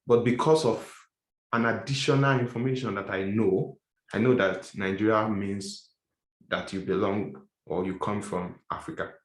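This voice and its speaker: Man teaching a class in an echoey room. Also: on the low side. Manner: explanatory, repeats and rephrases points. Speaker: instructor. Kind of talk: classroom lecture.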